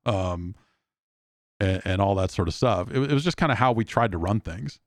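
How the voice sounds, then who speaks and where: A man's voice: fairly low pitch, expressive, moderate pace, dry recording, clean studio sound. A man hosting a podcast, telling rambling anecdotes in a casual, blunt, sweary conversational way. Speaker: podcast host, games journalist.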